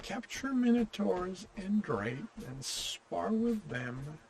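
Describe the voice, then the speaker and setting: A man giving casual gameplay commentary, talking in a distracted, halting way. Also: high voice, very animated, a little mellow, a little hiss or hum. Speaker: gamer playing on a stream or recording. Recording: game music clearly behind voice.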